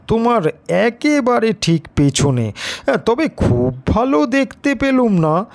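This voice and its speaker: Casting: male reader as bewildered old rural uncle for an audio story; high-pitched, unhurried, hesitant, earnestly insistent. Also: darkish tone, full character voice. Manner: halting, drawn-out, with hesitant interjections. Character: bewildered elderly village uncle, astonished and insistent.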